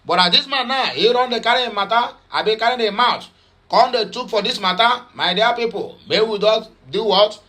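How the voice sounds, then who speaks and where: A man's voice: high voice, brisk, sharp tone. A man speaking in Nigerian Pidgin with some Igbo, giving chatty, mocking news commentary full of fillers and repeats. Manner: rambling with many fillers and repeats.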